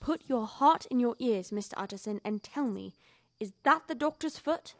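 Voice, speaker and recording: A woman's voice: a little high, lively intonation, normal speed. A young woman playing a loyal, frightened longtime household servant in a Victorian audio drama, earnest and deferential, telling things with emphasis. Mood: haunted, low. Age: young adult.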